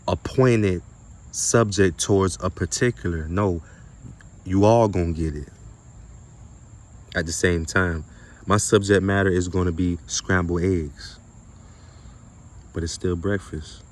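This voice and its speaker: A man giving an informal, slangy spiritual monologue in an emphatic, repetitive manner, often posing rhetorical questions. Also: low-pitched. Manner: casual and slangy with some swearing. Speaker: spiritual content creator and speaker.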